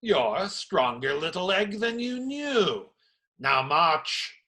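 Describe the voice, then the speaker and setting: A man acts out a burly, pious friar in a radio play, his voice high and bright, full of theatrical indignation. He delivers mocking little sermons at a slow, deliberate pace. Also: very animated, heavily acted. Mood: mocking, preachy.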